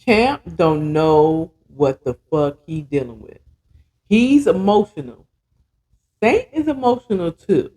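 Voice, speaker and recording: A podcast host with a mid-pitched voice tells a story at a slow, drawn-out pace. The delivery is casual and dramatic, leaning on repeated words for emphasis, with blunt, slangy and sometimes profane commentary. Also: very animated, mellow. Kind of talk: casual, animated retelling of a story chapter with commentary.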